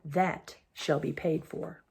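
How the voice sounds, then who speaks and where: Brief: woman playing a scheming lord, low and cold. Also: fairly even intonation, slow pace, gravelly, a little mellow, husky, full character voice. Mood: sly. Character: sly resentful male lord, scheming.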